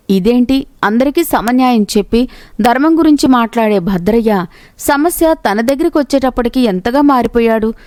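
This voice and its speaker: A woman's voice: a little high, darkish tone, no room sound, clean studio sound. A woman reading a scripted moral story as its narrator.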